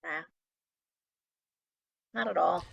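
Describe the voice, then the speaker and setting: A woman's voice: on the low side, extremely slow, vocal fry, no echo, muffled recording. A middle-aged woman talking as a podcast guest, making her points emphatically and often asking and answering her own questions. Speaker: podcast guest, brand-building business owner and teacher. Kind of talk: conversational podcast interview.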